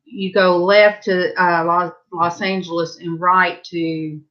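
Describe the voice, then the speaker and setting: A woman's voice: on the low side, flat delivery, slow pace, muffled recording. A middle-aged woman with a Southern US accent, chatting casually at home and rambling to her viewers while she paints. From Southern California. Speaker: vlogger. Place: home, indoors.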